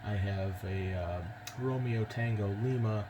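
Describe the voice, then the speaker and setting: A man with an American accent chatting casually as an amateur radio operator, in a measured, slightly hesitant way. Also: lowish voice. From United States. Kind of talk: casual ham radio chat. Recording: very loud background noise on radio transmission.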